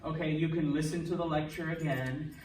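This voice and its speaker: A male language instructor giving a relaxed classroom lecture, joking and checking in with students often. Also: a little high, a little fast. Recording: echoey classroom from a distance, faint noise.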